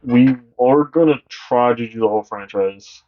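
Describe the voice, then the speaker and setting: Deep-voiced young man, rambling podcast co-host. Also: dull audio, a little hiss or hum. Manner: rambling, halting, many fillers. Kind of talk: casual chat.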